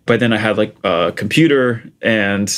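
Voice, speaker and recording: A man's voice: on the low side, normal speed, a bit bright. A man in his thirties from Portugal with an American accent, a guest chatting casually about his start in music, with many "like" and "you know" fillers. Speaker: interview guest, music producer. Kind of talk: casual conversational storytelling.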